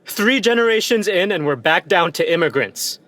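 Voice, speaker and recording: A man's voice: high voice, lively intonation, moderate pace, bright, forceful tone, dry recording. A man in an audio play playing an indignant, idealistic young son with an American accent and an impassioned, exasperated manner. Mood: frustrated.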